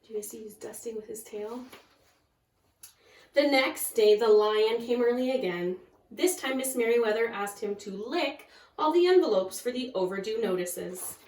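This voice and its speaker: A woman's voice: on the high side, moderate pace, a little mellow. A woman kindergarten teacher talking to young children and reading a storybook aloud. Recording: echoey room, far from mic.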